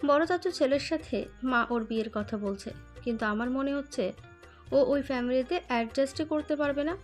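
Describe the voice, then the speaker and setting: Casting: woman to play pampered, haughty young cousin in a romance audio story, higher-pitched voice. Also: normal speed, soft background music, close to an everyday voice. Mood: disdainful. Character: pampered young female cousin, eager and snobbish.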